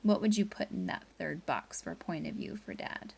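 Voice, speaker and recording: A woman's voice: fairly low pitch, expressive, normal speed, vocal fry, darkish tone, breathy edge. A woman teacher leading a read-aloud lesson for pupils, reading expressively and guiding them through a story. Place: indoors at a laptop.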